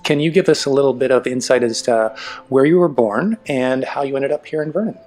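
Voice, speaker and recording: A man hosting a podcast interview, enthusiastic and encouraging, often answering with quick affirmations. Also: mid-range voice, a little mellow, faint music behind. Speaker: podcast host. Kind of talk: conversational interview.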